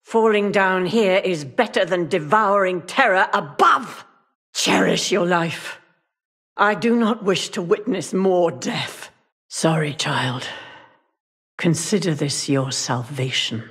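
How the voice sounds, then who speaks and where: An actress playing a sorrowful, guilt-ridden older woman guarding the way in a video game, speaking gravely and solemnly in a husky, gravelly voice. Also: lowish voice, slow pace, fairly bright tone, heavily acted. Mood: grim, regretful. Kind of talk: video game character acting.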